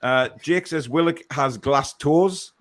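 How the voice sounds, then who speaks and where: A man's voice: fairly high pitch, slow. A man hosting a live football fan show, chatting casually and reading out viewer comments.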